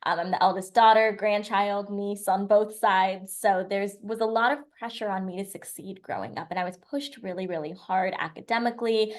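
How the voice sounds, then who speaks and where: A woman's voice: mid-range voice, normal speed, hardly any pauses. A woman in her twenties from the United States, a podcast guest telling her life story in a chatty, run-on way. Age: 20s. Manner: chatty, lively storytelling with long run-on sentences. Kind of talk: casual storytelling interview.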